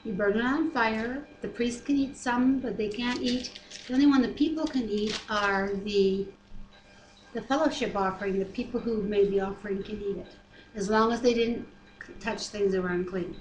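A woman's voice: fairly high pitch, normal speed. A middle-aged woman reading scripture aloud with casual commentary at home, picked up by a computer webcam microphone. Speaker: Bible reader and discussion co-host. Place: living room at home. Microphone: computer webcam mic at desk distance. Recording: roomy, echoey home webcam, faint background noise.

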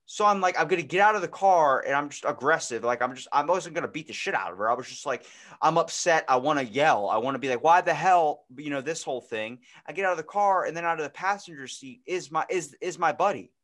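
A man in his twenties chatting casually as a podcast host, telling stories in an animated, slangy way with frequent swearing. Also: fairly high pitch, fast, hardly any pauses. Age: 20s. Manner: animated, profane, slangy storyteller. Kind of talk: casual chat, storytelling. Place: indoor room, podcast video call.

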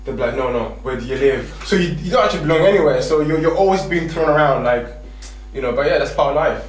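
A man's voice: a little high, quick pace, faint music behind. A young man from Zimbabwe, a guest in a small studio, rambling casually with many fillers and acted-out quotes. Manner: rambling, lots of fillers, acts out quoted exchanges. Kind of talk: casual chat. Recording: echoey studio from far off, faint noise.

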